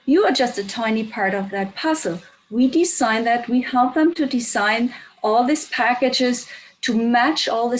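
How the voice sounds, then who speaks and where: A woman's voice: fairly high pitch, rough recording. Woman explaining earnestly. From Germany. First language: German. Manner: earnest, explanatory, stresses key points. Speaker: tour operator on a panel. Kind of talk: panel discussion.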